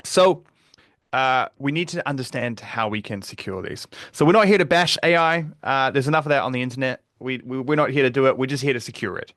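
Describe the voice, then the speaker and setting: Kiwi guy running a webinar, laid back and chatty, lots of uhs, mid pitch, dry close mic. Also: fairly quick, no room sound. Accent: New Zealand. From New Zealand. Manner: relaxed, conversational, frequent fillers and restarts. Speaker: webinar presenter, security advocate. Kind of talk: live webinar presentation.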